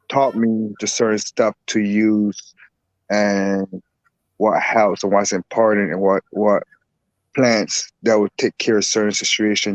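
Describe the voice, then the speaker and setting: A man from Barbados, a guest herbalist and boxer, talking in a relaxed, rambling way with lots of repeats. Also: lowish voice, dry recording, lo-fi sound. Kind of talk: casual interview talk.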